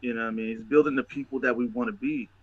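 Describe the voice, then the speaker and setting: A man hosting a casual video-call chat, talking in an animated, repetitive way about faith. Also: medium pitch, rapid-fire, lo-fi sound, a little hiss or hum. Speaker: podcast host.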